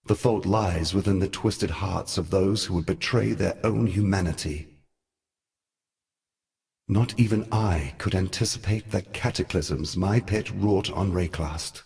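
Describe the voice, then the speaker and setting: A man voicing an ancient, weary god in a fantasy game, speaking in a grave, deliberate and eloquent manner. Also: low-pitched, darkish tone. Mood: sorrowful, regretful. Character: ancient weary god, solemn, regretful, cunning male ally. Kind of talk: theatrical fantasy game dialogue.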